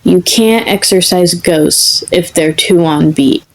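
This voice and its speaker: A woman voicing a weary, deadpan grown man who mutters flatly in a fan fiction reading. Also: on the low side, slow pace, low-quality recording. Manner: muttering, flat.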